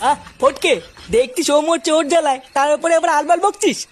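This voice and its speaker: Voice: high-pitched, fairly quick, lightly acted. A performer voicing a brash, scheming young village man who trades teasing banter in a comic cartoon.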